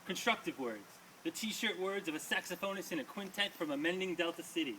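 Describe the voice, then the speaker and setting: Bright, forceful man reading poetry live. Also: sits high, lively intonation, a little fast. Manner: emphatic, rhythmic delivery. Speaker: poet reading own poems to an audience. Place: small live reading before an audience. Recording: distant mic, noisy live room.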